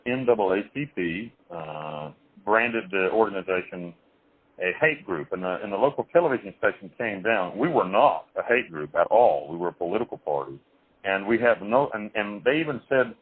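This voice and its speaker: A man being interviewed over the phone, telling his life story in a rambling, halting way with many restarts and some blunt, crude wording. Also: fairly low pitch, expressive, slightly rough. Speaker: interview guest. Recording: tinny phone line.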